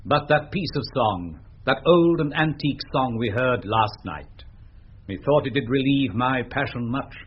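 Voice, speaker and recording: A man's voice: medium pitch, husky. An actor playing a melancholy, romantic nobleman with a British accent in 1970s radio Shakespeare. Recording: dull old radio recording. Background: plucked guitar music.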